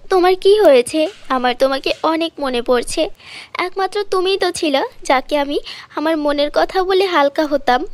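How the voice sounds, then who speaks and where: Woman as a high-voiced, earnest orphan girl. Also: no room sound, lightly acted. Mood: sad, devoted. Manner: earnest, emphatic. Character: brave, loyal orphan village girl, tearful and defiant. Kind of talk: cartoon fairy tale dubbing.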